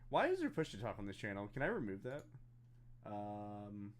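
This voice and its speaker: A man's voice: on the low side, wildly swooping pitch, moderate pace. A man chatting casually and self-deprecatingly as he hosts a live stream over voice chat. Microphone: voice chat on a computer.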